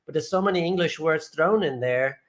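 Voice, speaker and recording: A man's voice: fairly high pitch, a little fast. A man hosting a casual vlog chat, explaining things and prompting his co-host with questions.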